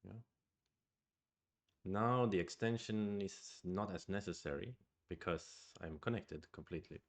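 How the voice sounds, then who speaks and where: A man's voice: low voice, a little slow. A man with a Swedish accent streams his go game, thinking aloud in a hesitant, musing way. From Sweden. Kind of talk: live-streamed game commentary.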